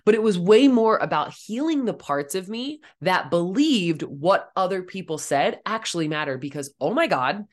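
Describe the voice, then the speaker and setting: A young woman with a raspy, somewhat deep voice, hosting her own coaching podcast in a blunt, direct, casual way. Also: expressive, normal speed, hardly any pauses. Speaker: podcast host and life coach. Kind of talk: casual solo podcast monologue.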